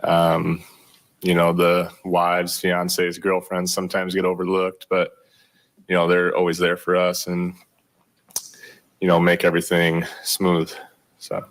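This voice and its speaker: A young man answering reporters after a game, with a very low and flat voice. He sounds modest and low-key, pausing often with ums. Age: young adult. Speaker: rookie pitcher. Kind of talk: postgame media interview.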